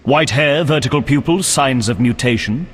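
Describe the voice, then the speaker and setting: Male actor for an earnest game knight: slightly husky voice, solemn courtly phrasing with expressive swings, heard in long reverb. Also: mid-range voice, normal speed, lightly acted. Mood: courteous, eager. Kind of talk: video game character acting.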